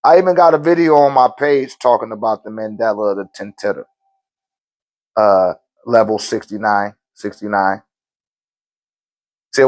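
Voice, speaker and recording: A man hosting a spiritual live stream, talking loosely in slang and answering viewer questions in a relaxed, conversational way. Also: mid-range voice.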